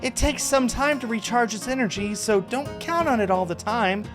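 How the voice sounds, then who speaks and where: A man voicing a cheerful, flirty female peddler from a visual novel, chatty and theatrical with a gushing, excited delivery. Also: very high voice, normal speed, over music, pitched above the performer's own voice. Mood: excited sales patter. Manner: gushing, teasing, excited sing-song delivery. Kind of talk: visual novel character read-aloud.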